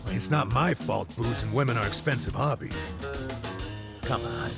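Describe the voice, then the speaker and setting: Man acting a gravelly, boozy middle-aged slacker. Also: medium pitch, normal speed, close to an everyday voice. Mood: wry, exasperated. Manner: blunt, irreverent, streetwise slang. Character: broke, boozy middle-aged man, a former detective, gruff and joking. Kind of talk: English video game dub acting. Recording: music behind the voice. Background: music.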